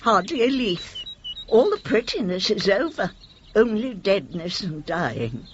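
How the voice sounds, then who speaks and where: A woman's voice: medium pitch, sing-song, measured pace, a little hiss or hum. An actress playing a genteel, wistful elderly British mother in a radio play. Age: older. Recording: dull, muffled radio drama recording.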